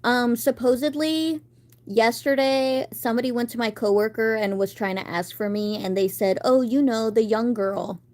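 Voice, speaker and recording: A woman's voice: on the high side, steady tone, normal speed, a little mellow. A woman in her thirties chatting casually on a live stream, rambling about her day and answering viewers. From United States. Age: 30s.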